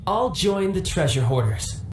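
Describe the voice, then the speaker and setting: A man playing a cocky, smooth young game character with a theatrical, smug, polished delivery. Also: high-pitched, big pitch swings, measured pace, darkish tone, echoey room, slight background noise. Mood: confident, amused. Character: cocky, smooth young male agent posing as salesman.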